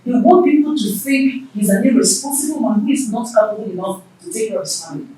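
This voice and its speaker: An actress plays a counselling royal mother in a theatrical drama. Her rather high voice moves up and down with formal emphasis, heard distantly in a very reverberant room. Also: expressive, quick pace, mellow, slight background noise, natural voice. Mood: knowing, explaining. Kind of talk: theatrical film drama dialogue. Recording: very echoey room, distant microphone.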